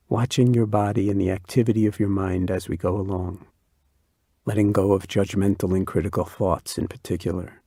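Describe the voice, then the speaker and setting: A man leading a guided body scan meditation, speaking slowly in a soft, soothing way. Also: on the low side, breathy edge, dry recording, clean studio sound. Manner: slow, soft-spoken and soothing.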